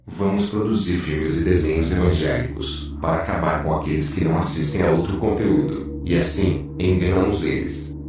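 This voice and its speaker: A man playing a sinister, scheming demon in a dramatized religious testimony, speaking in a menacing, deliberate way. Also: deep voice, fairly even intonation, darkish tone, slight background noise, close to an everyday voice. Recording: distant, heavily echoing, music behind.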